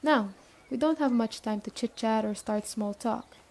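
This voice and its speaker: A woman playing a stern but caring survivor camp leader in an ASMR roleplay, speaking briskly and matter-of-factly straight to the listener. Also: a little high, a little mellow, close to an everyday voice. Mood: businesslike, earnest. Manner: brisk, matter-of-fact, addressing the listener directly.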